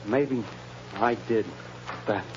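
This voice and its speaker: A man's voice: medium pitch, takes it slowly, lightly acted. An actor playing a nervous, guilty male gangster who talks tough street slang and grows panicky, in a 1930s radio drama. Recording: noisy, dull old radio recording.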